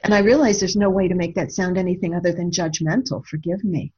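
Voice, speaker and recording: Mellow, husky woman in thoughtful discussion. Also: lowish voice, steady tone, fast, dull audio. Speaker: radio show co-host and author. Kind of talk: reflective spoken discussion.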